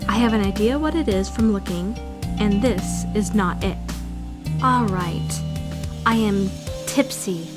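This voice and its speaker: A woman's voice: medium pitch, measured pace, over loud music, close to an everyday voice. A woman voicing a prim, socially awkward young trainee who narrates a visual novel fan dub in formal, bookish language. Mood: tipsy, musing.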